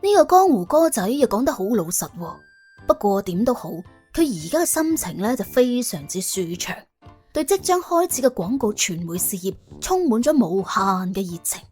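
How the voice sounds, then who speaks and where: A woman's voice: a little high, sing-song, moderate pace, breathy edge. A woman with a Cantonese accent narrating an audiobook as dramatic, wry storytelling. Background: soft background music.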